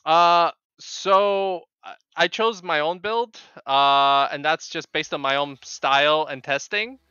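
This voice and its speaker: A middle-aged man with a Polish accent chats casually with viewers while gaming, in a halting way that often repeats words. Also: fairly high pitch, pushed and bright, clean tone, no room sound. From Poland. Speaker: game streamer. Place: small home room with bookshelves. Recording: dull, lo-fi microphone sound.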